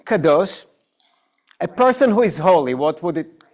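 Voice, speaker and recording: A man's voice: a little high, very animated, darkish tone. A man with an Israeli accent, a native Hebrew speaker, teaching an informal Bible class and putting questions to his students. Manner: unhurried, explaining with questions to the class. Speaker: rabbi teaching adult education. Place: synagogue classroom.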